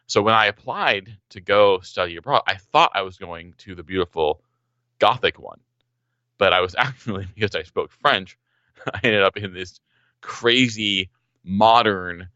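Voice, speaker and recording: Male podcast guest, very deep yet bright and forceful voice, dry muffled remote audio. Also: unhurried, no echo. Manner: enthusiastic storyteller, some ums. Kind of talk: casual podcast conversation.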